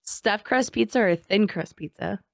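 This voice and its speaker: A young adult woman co-hosting a podcast, reading quick-fire questions in a playful, teasing way. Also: medium pitch, gravelly, dry recording, clean studio sound. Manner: playful, teasing, laughs often. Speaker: podcast co-host. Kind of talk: casual podcast chat.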